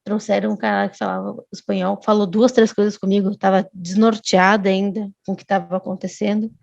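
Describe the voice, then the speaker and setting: A woman's voice: mid-range voice, steady tone, brisk, gravelly, a little mellow, dry recording, dull audio. A woman, a guest telling a long personal story in casual chat, often restarting and adding tag questions. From Brazil.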